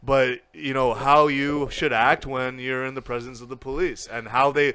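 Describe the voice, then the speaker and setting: A young man talking as a podcast guest, animated and blunt, with lots of restarts and casual swearing. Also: medium pitch, moderate pace, smooth, dry recording.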